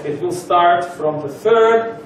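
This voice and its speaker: A Russian man speaking English as a second language, giving a halting seminar introduction with many pauses. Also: high-pitched, a little hiss or hum. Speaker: lecturer. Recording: roomy echo from far off.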